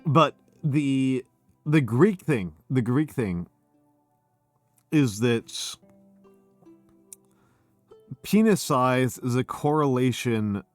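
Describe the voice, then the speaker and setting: A man's voice: mid-range voice, expressive, very slow, pauses often, no echo. Drunk male streamer, rambling lecture voice, stumbles over words, light music bed. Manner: rambling, slurring restarts. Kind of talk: drunk casual chat.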